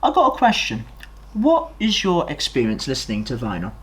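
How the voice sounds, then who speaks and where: A man's voice: on the high side, wildly swooping pitch, moderate pace, fairly bright tone, husky. A young man presenting a news-style history video in an enthusiastic, informal, exclamatory way. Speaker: student presenter. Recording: music behind the voice.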